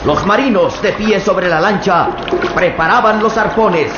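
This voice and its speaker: A man's voice: high-pitched, a little slow, music in the background. A man narrating a radio serial in a tense, suspense-building way. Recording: echoey, muffled old radio with loud hiss.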